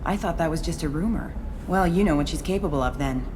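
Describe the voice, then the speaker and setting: Actress as low, cool, streetwise female informant. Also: fast, noisy background, lightly acted. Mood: cool, wry. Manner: guarded, cool, matter-of-fact. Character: wry, streetwise ex-security woman turned shady informant. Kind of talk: video game dialogue acting. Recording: game music behind the voice.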